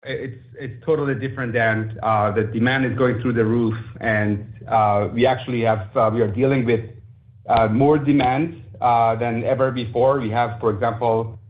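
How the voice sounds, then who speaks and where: Male executive taking investor questions, hesitant and even-toned, over a tinny phone-like connection. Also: medium pitch. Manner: halting, hesitant with frequent fillers. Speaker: company executive. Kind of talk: unscripted answers on an earnings call. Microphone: conference call line. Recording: narrow phone-like conference line.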